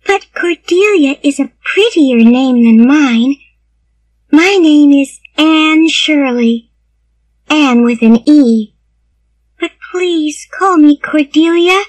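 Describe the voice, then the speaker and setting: A woman's voice: high voice, slow pace, dry recording, far from the mic, pitched above the performer's own voice, poor audio. A woman voicing a talkative, dreamy and emotional orphan girl in a storybook, with an earnest, dramatic manner. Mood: pleading. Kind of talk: storybook character voice.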